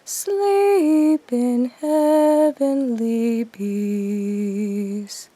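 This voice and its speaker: A woman slowly singing a Christmas carol as a lullaby in an audio drama. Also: a little high, dark tone, no room sound, soft background music. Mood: gentle.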